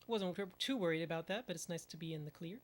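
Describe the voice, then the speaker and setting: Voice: medium pitch, fast talker. A speedrunner giving casual commentary over a run, muttering at the game and using mild minced oaths.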